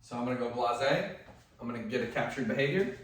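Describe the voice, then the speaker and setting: Male dog trainer, loud voice, chatty and instructive; distant mic in a boomy room with light hiss. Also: animated, fast talker, very echoey. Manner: instructive, conversational, drops to soft commands to the dog. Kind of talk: hands-on training demonstration.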